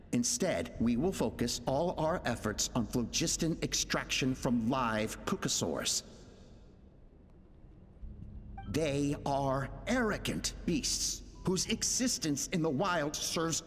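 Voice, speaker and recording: An actor voicing a grave, driven male researcher dictating experiment logs in a video game, in a reverberant space over music. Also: fairly high pitch. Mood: solemn, resolute. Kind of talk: dramatic game log narration. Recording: long hall reverb, faint music.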